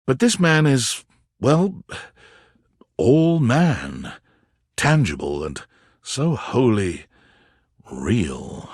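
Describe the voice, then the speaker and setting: A wry male storyteller narrates a dating game in a husky, very animated voice. He is theatrical and warm, leaning on dramatic pauses. The recording is close and dry. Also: fairly low pitch, no echo, exaggerated voice. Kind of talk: scripted second-person narration, expressive storytelling.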